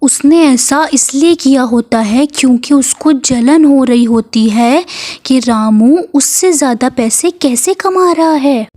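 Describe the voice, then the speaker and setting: Female storyteller for a moral tale, high register, unhurried scripted narration. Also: warm tone.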